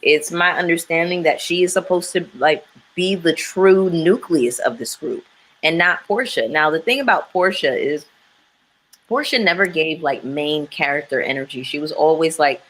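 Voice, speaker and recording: Woman, low voice, frank and opinionated, faint hiss behind. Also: normal speed, a bit bright, low-quality recording. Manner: opinionated, frank, with many fillers. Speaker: panelist. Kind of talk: casual chat about a reality show.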